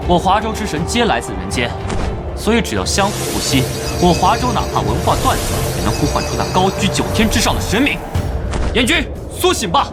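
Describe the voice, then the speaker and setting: A man playing an earnest, defiant young summoner of ancient gods in an animated fantasy, confident and declamatory. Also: high-pitched, a little fast. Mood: impassioned. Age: young adult. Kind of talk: animated fantasy motion-comic dialogue. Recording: loud dramatic music bed.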